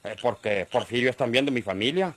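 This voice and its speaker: A man's voice: mid-range voice, expressive, fairly quick, no room sound, natural voice. An actor playing a shrewd, cornered ranch man in a Mexican radio drama, middle-aged, persuasive and defiant, with a rural Mexican accent. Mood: earnest, relieved. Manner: earnest, persuasive, rustic speech.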